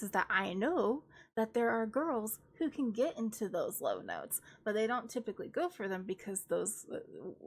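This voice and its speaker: A woman's voice: medium pitch, fairly bright tone. Young woman, classical singer streaming, mid-range voice, rambling analytical reaction chat, a touch nasal. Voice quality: slightly nasal at times. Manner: thinks aloud, opinionated, analytical about singing. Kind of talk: casual live-stream reaction commentary.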